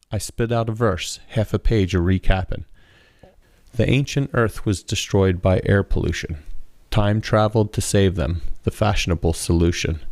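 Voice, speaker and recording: A man's voice: low voice, a little mellow, dry recording. A man reading his own rhymed spoken-word verse with a rhythmic, rhyme-driven delivery. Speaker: author and poet reading own work.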